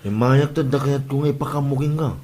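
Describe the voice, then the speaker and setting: A man's voice: mid-range voice, steady tone, fairly quick, mellow, lightly acted. A man playing a mocking, gossipy Ilocano buddy who exclaims his way through a comedy drama. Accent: Filipino, Ilocano. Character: teasing male buddy who scolds a show-off. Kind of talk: comedy audio drama acting.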